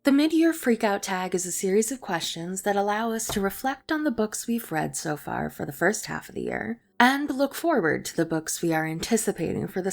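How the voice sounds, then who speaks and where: A woman's voice: mid-range voice, gravelly. A woman hosting a book vlog, chatting in a semi-scripted, enthusiastic way. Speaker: book vlogger, host. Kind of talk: casual chat to camera, semi-scripted book review.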